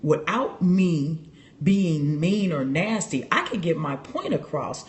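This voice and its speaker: A woman hosting a casual livestream on her phone, animated and laughing often as she talks straight to her viewers. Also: low-pitched, slow. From Chicago. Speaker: livestream host. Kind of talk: casual personal talk to viewers.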